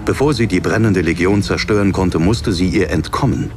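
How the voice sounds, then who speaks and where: A man's voice: low voice, moderate pace, music in the background. A man reading a dramatic fantasy audiobook as narrator.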